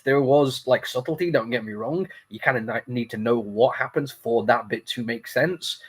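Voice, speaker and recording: A man's voice: medium pitch, husky. A man with a slightly congested, sniffly voice hosting a casual, unscripted review in a rambling, apologetic, conversational way. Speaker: comic book review host.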